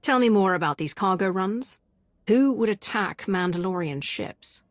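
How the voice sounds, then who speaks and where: An actress playing a cool, decisive commander in a video game, with a crisp, assured delivery. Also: female voice, mid-range voice, expressive, a little slow, no echo, clean studio sound, lightly acted. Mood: cool, probing.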